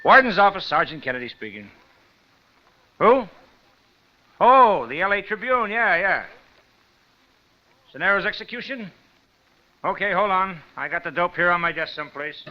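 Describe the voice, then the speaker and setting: A busy, officious American desk sergeant at a prison in an old radio play. His voice is fairly high for a man. The sound is dull and muffled. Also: animated, a little hiss or hum. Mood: brisk, irritated. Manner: brisk, officious, flustered hesitations. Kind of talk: 1950s radio drama acting.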